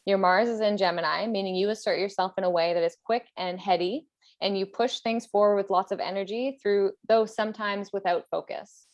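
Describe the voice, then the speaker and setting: A woman's voice: mid-range voice, fairly even intonation, fairly quick, no room sound. Woman podcast host, close dry sound. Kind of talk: casual podcast chat, sometimes reading aloud.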